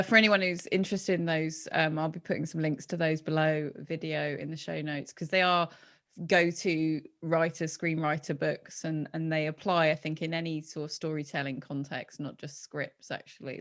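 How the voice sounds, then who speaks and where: A woman's voice: deep voice, fairly even intonation, moderate pace. A woman hosting a casual interview, speaking in an encouraging, agreeable and conversational way. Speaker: interviewer, host.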